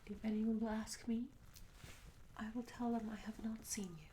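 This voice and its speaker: A woman playing a curious, polite medieval tavern maid in an ASMR roleplay, speaking in a soft, breathy whisper with gentle, hesitant, old-fashioned courtesy. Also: a little high, little pitch movement, normal speed, lightly acted, poor audio. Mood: gentle, apologetic. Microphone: close ASMR microphone. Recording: close whisper over audible ambience noise. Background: candlelit tavern ambience.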